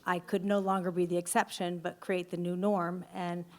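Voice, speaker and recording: A woman moderating a business panel, forceful and rallying, with punchy declarations. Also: lowish voice, little pitch movement, moderate pace. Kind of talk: panel discussion.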